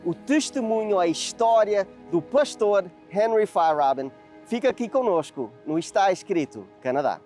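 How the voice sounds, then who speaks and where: A man's voice: high voice, expressive, normal speed, darkish tone, soft background music. A man, hosting the show and welcoming viewers. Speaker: host. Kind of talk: show introduction.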